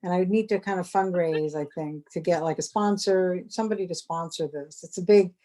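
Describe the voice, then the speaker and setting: A woman around fifty speaks on a video call, feeling her way through each sentence with halting restarts. Her voice is fairly low and mellow, warm rather than bright. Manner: hesitant, halting, many restarts. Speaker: sculptor and course participant. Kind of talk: casual video-call chat.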